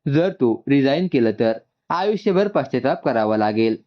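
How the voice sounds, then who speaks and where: A man's voice: mid-range voice, expressive, moderate pace, lo-fi sound, lightly acted. A man voicing a businesslike office manager who explains company rules firmly. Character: brisk male office manager, firm and businesslike.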